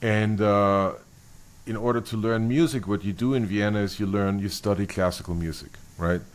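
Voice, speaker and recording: A middle-aged man from Austria talks through his answers in a low voice. He pauses, restarts and searches for words as he thinks aloud in a relaxed interview. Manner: thoughtful, halting, many restarts and fillers. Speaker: bassist guest. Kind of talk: interview conversation.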